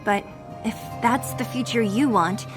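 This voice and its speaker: A woman's voice: on the high side, measured pace, music in the background, close to an everyday voice. A woman voicing a blunt, scrappy, loyal young woman in a video game, casual and teasing in her talk. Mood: hesitant, concerned. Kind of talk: dramatic video game voice acting.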